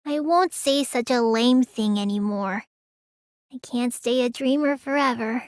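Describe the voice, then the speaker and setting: A woman voicing a small, childlike teenage girl mage in a video game, high and girlish, sulky and excitable with a whiny edge. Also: a little slow, no room sound, clean studio sound, close to an everyday voice. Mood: earnest, reasoning. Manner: whiny, stammers when startled. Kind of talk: English game dub voice lines.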